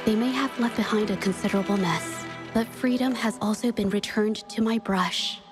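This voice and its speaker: A young woman playing an earnest, gentle painter in a game, soft-spoken and measured in her reflective delivery. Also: mid-range voice, music in the background, natural voice. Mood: sorrowful, reflective. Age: young adult. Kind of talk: dramatic game dialogue and reflective narration.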